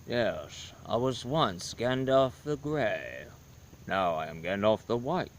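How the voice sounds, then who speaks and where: A man putting on the voice of a grand, commanding old male wizard, speaking in slow, booming pronouncements. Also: sing-song, a little hiss or hum. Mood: solemn.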